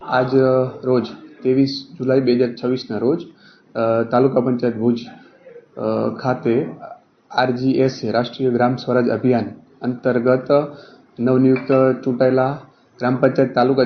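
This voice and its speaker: A muffled, echoey recording of a male official. He gives a formal statement in a flat, halting way with hesitant pauses. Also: mid-range voice. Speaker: local government official. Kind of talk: formal spoken statement to a reporter. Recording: muffled, roomy, faint background noise.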